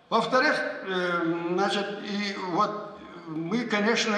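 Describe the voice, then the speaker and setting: A man's voice: high-pitched, sharp tone. An older man from Dagestan, an education official giving an emphatic conference speech and repeating key points. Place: large conference hall. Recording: echoey conference hall with long reverb.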